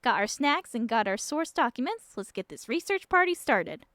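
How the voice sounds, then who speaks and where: A woman's voice: a little high, very animated, moderate pace, a bit bright, no room sound. A woman voicing an eager, chirpy young woman who researches monsters in a visual novel. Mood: eager, upbeat. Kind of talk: visual novel character reading. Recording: music behind the voice.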